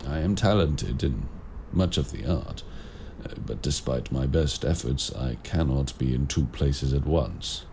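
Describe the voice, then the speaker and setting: Man as a very deep, gravelly warlock. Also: expressive, darkish tone, slight background noise, full character voice. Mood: firm, matter-of-fact. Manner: formal, measured, deliberate phrasing. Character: ancient male warlock in raven form, courteous, grave mentor. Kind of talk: audio roleplay addressed to the listener.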